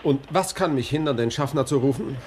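An actor playing a wry, flippant journalist with dry irony in a 1960s German radio crime drama. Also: male voice, medium pitch, a little fast, a little mellow, lightly acted. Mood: indignant. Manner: ironic, dryly humorous. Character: wry journalist, flippant amateur sleuth narrating his adventure. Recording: noisy old 1960s radio recording.

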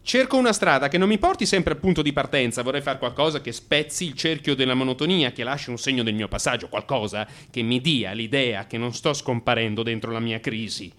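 Actor as a restless, frustrated man, widely swinging pitch. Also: expressive, fairly quick, few pauses, lightly acted. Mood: restless, determined. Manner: confessional, theatrical, swinging from hushed to emphatic. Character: restless, frustrated man in crisis confiding his night walks. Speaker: actor in a radio monologue. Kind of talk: dramatic first-person radio theatre monologue.

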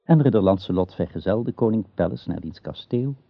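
Deep, gravelly male storyteller. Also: expressive, fairly quick, dry recording. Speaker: narrator. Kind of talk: storytelling narration in radio drama, archaic. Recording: dull, muffled radio play recording.

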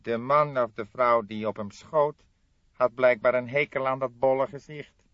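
A man's voice: mid-range voice, steady tone, normal speed, no echo, dull audio, voice pushed up, lightly acted. A man voicing an old police coroner in an audiobook, laconic and dryly sardonic.

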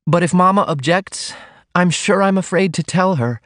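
Man playing a tender young woman, raised pitch, hesitant, earnest, audiobook romance, dry sound. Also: animated, normal speed, no echo, close to an everyday voice. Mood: anxious, pleading. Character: shy, tender young woman newly in love. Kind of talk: audiobook character dialogue.

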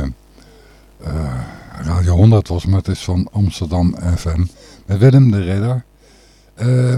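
Very dark, low-voiced male podcast host. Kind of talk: informal, unscripted radio presenting.

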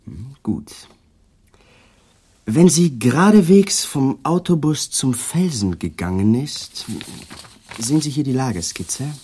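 A shrewd male detective who presses his questions calmly and politely and never lets go. His voice is slightly husky and moves freely up and down in pitch. It is a German radio play from the 1980s. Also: slow. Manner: calm, persistent, politely needling questions.

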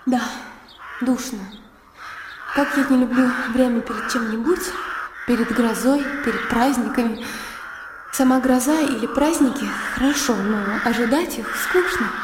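An actress playing a blunt, spirited young country noblewoman in a radio drama, animated and outspoken. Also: on the high side, echoey room, long reverb tail, noisy background, lightly acted.

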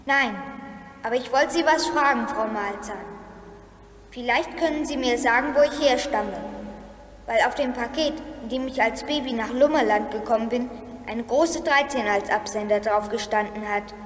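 A woman's voice: fairly high pitch, normal speed, close to an everyday voice. A woman playing a brave, polite young boy in a children's radio play, speaking earnestly and directly. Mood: earnest, pleading. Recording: echoey hall radio play recording.

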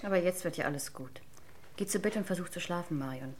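A woman's voice: on the low side, fast talker, a little hiss or hum, natural voice. An actress playing an insistent, distressed woman in a radio crime drama, with an emphatic, pleading manner. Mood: soothing, insistent.

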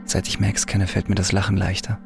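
A gravelly inner monologue. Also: male voice, sits low, monotone, brisk, faint music behind. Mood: reflective. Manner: quiet, wry, introspective. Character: prisoner's inner voice, quiet and reflective. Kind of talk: radio drama inner monologue.

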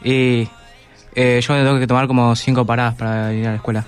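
A man's voice: mid-range voice, flat delivery, fast. A teenage male student with a Buenos Aires Argentine accent, giving casual answers in a radio studio interview. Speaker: student guest. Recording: music playing behind.

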